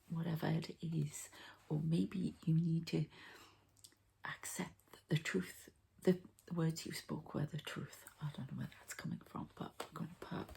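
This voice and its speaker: A woman doing a whispered ASMR card reading as an energy healer, in a hushed, breathy, creaky voice, unhurried and murmuring to the listener. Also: low-pitched.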